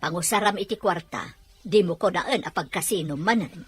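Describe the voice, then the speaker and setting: A woman playing a patient but admonishing older sister in a radio drama, with a husky, expressive delivery. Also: lowish voice, normal speed, a little hiss or hum, natural voice. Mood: reluctant. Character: patient older sister, forgiving but scolding, lends money. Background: background music.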